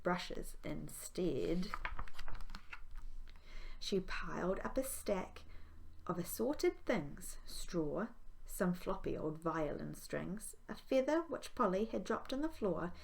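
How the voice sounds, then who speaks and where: A woman reads a children's storybook aloud with expression. Her voice sits a little low and has a noticeable creak to it. She sounds like a primary school teacher reading to her class. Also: very animated, slow pace, slight background noise, poor audio.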